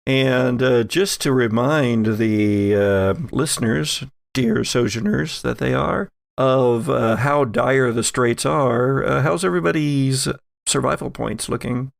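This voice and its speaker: A man hosts a tabletop horror game podcast with a noticeably creaky voice. He narrates at a slow pace, at an average pitch, and sounds close and dry. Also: dry recording. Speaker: game master and host.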